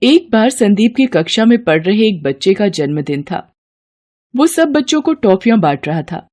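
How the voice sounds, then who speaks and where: A woman narrates a scripted story as a storyteller. Her voice is mellow and relaxed in tone. The pitch is middling for a woman. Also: moderate pace.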